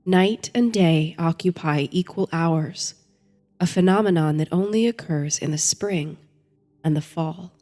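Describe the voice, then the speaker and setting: A woman host narrating a bedtime story and guided meditation in a soothing, gentle, measured way. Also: on the low side.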